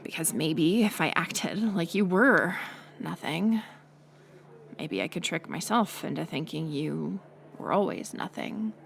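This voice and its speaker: A woman playing a bitter, hurt college-age former friend turned bully, confessing in a halting, intimate audio drama monologue. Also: lowish voice, expressive, a little hiss or hum. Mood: guilty, bitter confession. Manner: halting confessional delivery, drifting into breathy near-whispers.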